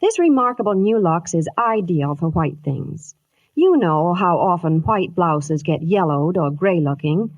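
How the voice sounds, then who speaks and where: A woman's voice: lowish voice, lively intonation, measured pace, no echo, muffled recording. A woman reading scripted Hollywood news and sponsor copy in a 1950s radio style. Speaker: Hollywood reporter and sponsor pitchwoman.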